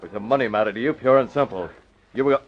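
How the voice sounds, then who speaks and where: An actor playing a calm, commanding masked crimefighter in an old-time radio drama, speaking deliberately. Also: male voice, medium pitch, faint music behind, natural voice. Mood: stern. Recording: dull, noisy vintage radio recording.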